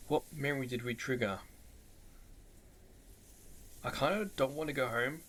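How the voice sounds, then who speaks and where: A man's voice: mid-range voice, normal speed, a bit bright. A man giving casual let's play commentary on a visual novel, musing aloud and often asking questions as he goes. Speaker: gaming video host. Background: game music with mallet percussion.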